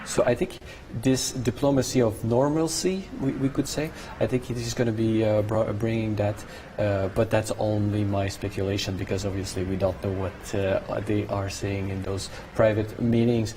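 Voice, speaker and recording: Low-voiced French Canadian man commenting live. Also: fairly even intonation, mellow. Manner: halting, searching for words. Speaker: studio commentator, French-language coverage host. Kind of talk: live analysis, conversational. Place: broadcast studio. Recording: clear background noise.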